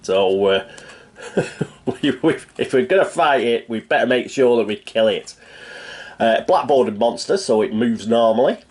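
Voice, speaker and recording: Bunged-up guy with a cold rambling through board game setup, lots of ums and uhs, medium pitch. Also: lively intonation, moderate pace, darkish tone. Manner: chatty, rambling, thinks aloud with many fillers. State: stuffy nose from a cold. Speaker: board game channel host. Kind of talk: casual explanation of a solo board game setup.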